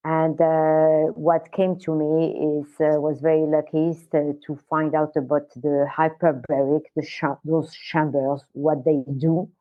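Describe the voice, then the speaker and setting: An older woman from Morocco, a guest on an interview podcast, talking in a halting way with many fillers. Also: sits low, flat delivery. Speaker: guest, wellness center founder. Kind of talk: casual interview talk. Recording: tinny phone-like line.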